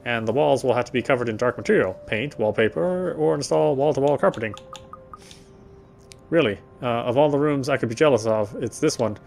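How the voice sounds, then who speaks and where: A man reading visual novel narration aloud as he plays, often halting and correcting himself. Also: medium pitch, vocal fry, darkish tone, a little hiss or hum. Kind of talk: read-aloud game narration.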